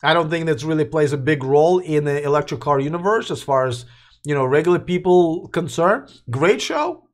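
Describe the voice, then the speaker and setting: A man's voice: a little high, animated, moderate pace. Man losing his voice, rambling. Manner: rambling, restarts, addresses live chat. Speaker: host of a live electric car news show. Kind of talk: casual live-stream commentary.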